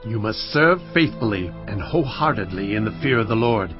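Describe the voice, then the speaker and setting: A man's voice: lowish voice, animated, a little fast, close to an everyday voice. An actor playing an earnest king of Judah who gives solemn commands and prays aloud for help, in a dramatised scripture reading. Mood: commanding. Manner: solemn, commanding, pleading in prayer. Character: King Jehoshaphat, earnest man leading his people. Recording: slightly muffled recording with faint music.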